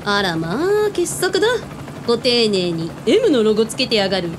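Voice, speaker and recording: A woman as a brash, high-voiced mission boss. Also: sing-song, normal speed. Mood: brisk. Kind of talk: radio drama acting. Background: music, helicopter rumble.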